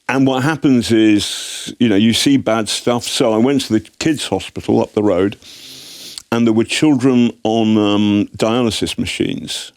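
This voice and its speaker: English-accented man, low voice, wry storyteller, frequent restarts, dry studio sound. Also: a little mellow, no room sound. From Britain. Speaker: guest journalist. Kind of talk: anecdotal storytelling in interview.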